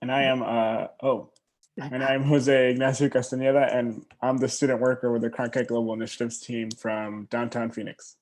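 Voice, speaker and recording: A man's voice: medium pitch, flat delivery, normal speed, a little mellow. A man who works as a student worker, introducing himself hesitantly after a stumbling start.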